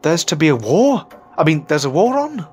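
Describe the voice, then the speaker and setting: Man playing an earnest, formal Victorian gentleman. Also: on the high side, very animated, moderate pace. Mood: puzzled, polite. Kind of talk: audio drama acting.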